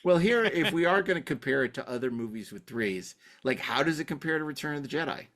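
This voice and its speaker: A man co-hosting a podcast, chatting casually about films in an animated way, often repeating and stammering over his words. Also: a little high, fast talker. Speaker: podcast co-host.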